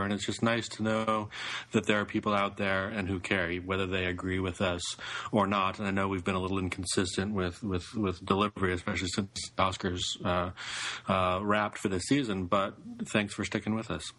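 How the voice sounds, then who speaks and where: Very deep-voiced man with a bright edge. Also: little pitch movement, moderate pace. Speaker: podcast co-host. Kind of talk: casual podcast chat.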